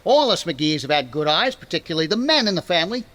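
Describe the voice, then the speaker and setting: A man's voice: on the high side, very animated, quick pace, a bit bright. A man playing a boastful, stubborn, folksy middle-aged husband in 1940s radio comedy style, blustering and stammering in protest. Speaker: actor in a radio comedy recreation. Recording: faintly noisy recording.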